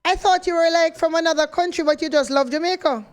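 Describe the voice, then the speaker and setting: A woman's voice: extremely high pitch, quick pace. A woman hosting a radio interview, chatty and often repeating words as she searches for them. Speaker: radio host.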